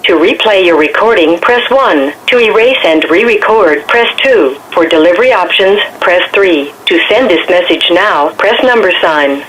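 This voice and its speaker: A woman's voice: fairly low pitch, expressive, moderate pace, few pauses. A woman's voice reading scripted automated voicemail menu prompts over a telephone. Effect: telephone line. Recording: noisy phone line.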